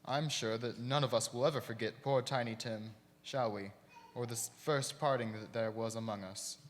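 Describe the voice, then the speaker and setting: An actor playing a gentle, grieving father and husband in live radio theater, speaking tenderly and with measure. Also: mid-range voice, steady tone, moderate pace, lightly acted. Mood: gentle, wistful. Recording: reverberant hall.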